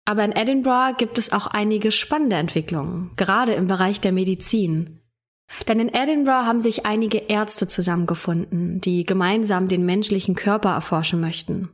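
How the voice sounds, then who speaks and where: A woman's voice: medium pitch, normal speed. A female true crime podcast co-host who talks in a casual, chatty way and laughs easily.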